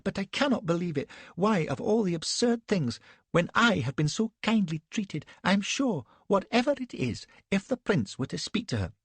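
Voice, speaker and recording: A flustered young Victorian wife, as a male narrator puts her on, pitched far above a husky man's voice and fluttering with fussy, plaintive questions. Her tone swings lively and high as she frets, prim and a little silly, like a storybook lady out of her depth. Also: moderate pace, no echo, exaggerated voice.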